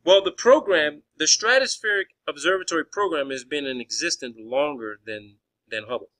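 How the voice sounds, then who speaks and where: A man's voice: a little high, normal speed, a bit bright, rough recording. A man arguing in a phone conversation as a caller, pressing his points insistently and often restarting his phrases. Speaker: caller questioning a space agency official.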